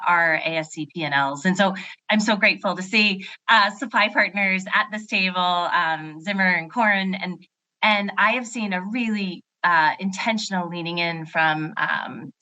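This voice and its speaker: A woman's voice: on the low side, sharp tone, low-quality recording. A woman speaking as a panelist in a webinar round table, fluent and businesslike with frequent fillers. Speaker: panelist, surgery center executive. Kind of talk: panel discussion.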